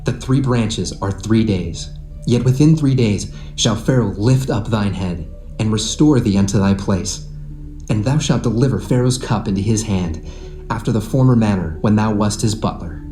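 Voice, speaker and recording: An actor playing an upright, earnest young Hebrew servant in a dramatised scripture reading. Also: male voice, lowish voice, normal speed, mellow, reverberant, faint music behind, natural voice. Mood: solemn.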